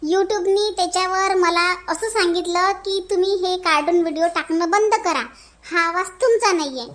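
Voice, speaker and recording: A man's voice: very high-pitched, moderate pace, a bit bright. Man doing a chatty Varhadi village auntie. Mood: pleading. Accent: Varhadi Marathi. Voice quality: folksy, chatty, adds "हं?" tag questions. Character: cheerful middle-aged village woman who never gives up. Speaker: cartoon voice. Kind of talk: cartoon voice acting.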